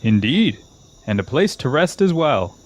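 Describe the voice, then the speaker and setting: A man playing a scheming exiled old king in a fantasy audio drama, speaking in a slow, grandiose, coaxing manner. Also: mid-range voice, sing-song, slight background noise. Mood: courteous, wistful.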